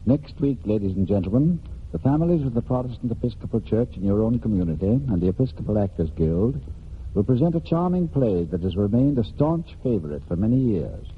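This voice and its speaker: A man's voice: fairly low pitch, a little hiss or hum. A man hosting a radio drama, presenting formally in a stately 1940s broadcast style. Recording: tinny 1940s radio, faint music.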